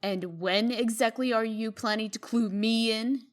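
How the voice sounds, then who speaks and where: A woman playing a brisk, exasperated fairy-tale princess turned official in a comic dub, curt and guarded. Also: fairly high pitch, steady tone, natural voice. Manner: curt, guarded, sharp-tongued.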